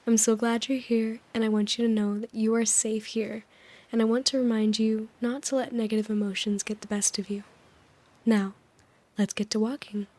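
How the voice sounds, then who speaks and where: A woman hosting a sleep-aid video, rambling gently over gameplay, her voice slightly hoarse as it recovers. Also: fairly high pitch, level tone, moderate pace. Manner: gentle, soothing, meandering with small laughs. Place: voice-over recorded apart from the game footage.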